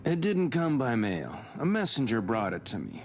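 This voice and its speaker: A man acting an earnest, superstitious, deferential subordinate in a visual novel set in 19th-century Russia, speaking formally and in a measured way. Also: mid-range voice, very animated, soft background music. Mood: polite, dutiful.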